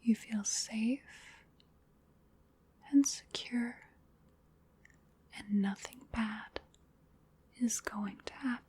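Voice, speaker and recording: A woman whispering in a breathy voice, giving soothing, unhurried ASMR hypnosis as part of a roleplay. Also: fairly high pitch, dark tone. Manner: soothing, unhurried, repetitive guided relaxation. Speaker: ASMR performer.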